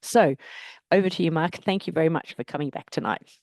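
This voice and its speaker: A woman hosting a webinar over a video call, reading a prepared introduction in a measured way with small slips. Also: sits low, a little mellow, dry recording. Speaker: host, GP education advisor.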